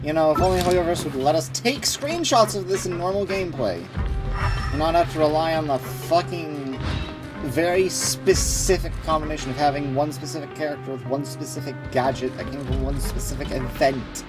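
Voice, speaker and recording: A woman livestreaming a video game with casual, slangy, excitable commentary. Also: low-pitched, normal speed. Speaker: game streamer. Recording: game music behind the voice.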